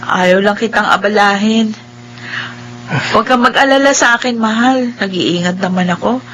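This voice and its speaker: A Filipino woman acting in a radio drama as a blind young wife, gentle, affectionate and apologetic. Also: medium pitch, moderate pace, a little hiss or hum, lightly acted. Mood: anxious, tender.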